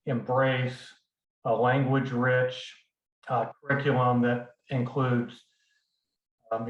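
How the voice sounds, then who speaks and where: A man running for school board, giving an earnest, halting statement with many fillers over a video call. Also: medium pitch, roomy sound, dull audio. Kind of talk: forum opening statement.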